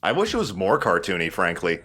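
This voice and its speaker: A man's voice: low-pitched, huge pitch swings, fairly quick, a bit bright, a little hiss or hum. A man hosting a let's play with casual, joking, irreverent game commentary over game sound. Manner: irreverent, profane.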